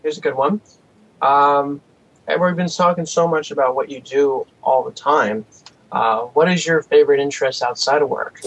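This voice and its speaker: An upbeat man hosts the chat, tossing out relaxed questions and passing along comments from viewers. His voice sits a little higher than most men's. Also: moderate pace, low-quality recording. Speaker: host. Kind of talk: casual interview questions.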